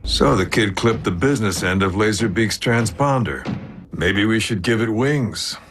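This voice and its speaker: A man voicing a brash robot warrior in an animated action series, laconic and wry with tough-guy swagger. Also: on the low side, moderate pace, background noise. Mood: wry, cocky. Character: brash robot warrior, gruff cocky maverick soldier. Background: loud dramatic music and soundtrack.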